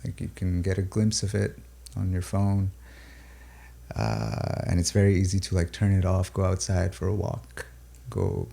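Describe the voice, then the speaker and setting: A low, mellow man with a gravelly edge speaks slowly in a reflective interview. He is a native Urdu speaker from Pakistan and the UAE. He pauses and restarts often as he thinks aloud about his music. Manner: thoughtful, halting, many fillers and restarts.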